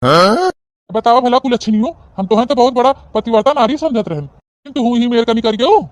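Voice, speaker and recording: A woman's voice: fairly high pitch, expressive, fairly quick, no room sound, natural voice. A woman voicing a bossy, impatient old father-in-law in an Awadhi comedy cartoon, scolding and blustery, with an Awadhi accent.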